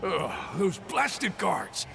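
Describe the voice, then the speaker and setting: A high, creaky male henchman in a video game. He is cowed and agitated, stammering to his betters, with his pitch jumping about. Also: sing-song, very slow, background noise, heavily acted. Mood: annoyed. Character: panicky, cowed henchman of a criminal boss.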